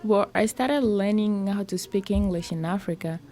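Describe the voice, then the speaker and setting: A teenage girl from Congo, a high school student, telling her own story in an interview, hesitant and full of "like" fillers. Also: normal speed, mellow. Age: teens. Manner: hesitant, with frequent "like" fillers and restarts.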